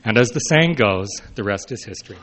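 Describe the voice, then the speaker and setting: A mature man reads a prepared personal speech aloud in a measured way, with brief asides, as a historian addressing listeners. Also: fairly low pitch, animated, a bit bright. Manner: measured, announces quote and unquote, brief unscripted asides. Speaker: historian giving a talk.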